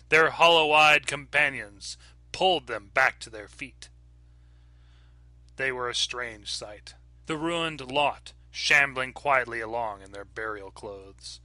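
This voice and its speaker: Measured bright-voiced male horror narrator. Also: mid-range voice, no echo. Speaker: narrator reading a horror story. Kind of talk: scripted narration.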